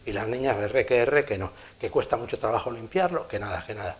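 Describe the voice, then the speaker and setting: A man with a Spanish accent from Spain giving a conference lecture, telling lively anecdotes and chuckling at his own stories. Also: medium pitch, brisk. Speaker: engineer giving a conference talk. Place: lecture hall.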